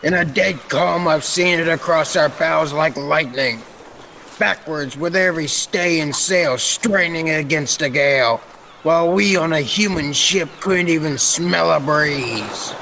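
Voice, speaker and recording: A man as a creaky, superstitious old sailor. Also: a little high, measured pace, pushed and bright, a little hiss or hum, full character voice. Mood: insistent. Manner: salty, emphatic nautical dialect. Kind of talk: old-time radio drama acting.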